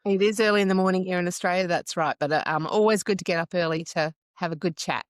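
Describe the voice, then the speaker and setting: Smooth, chatty woman in her fifties. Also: on the low side, fairly even intonation, fast talker, no echo, clean studio sound. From Wales. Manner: chatty, storytelling, frequent ums. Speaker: podcast guest, psychologist. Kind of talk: casual interview chat.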